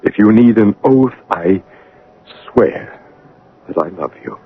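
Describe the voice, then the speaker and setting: A commanding, brooding master of a grand house in a vintage radio drama. His low voice swings widely, turning from abrupt teasing to ardent pleading. The sound is the dull, narrow band of an old broadcast. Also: lively intonation, slow pace, slightly rough, heavily acted. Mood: ardent, urgent. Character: brooding, commanding English gentleman master, passionate suitor.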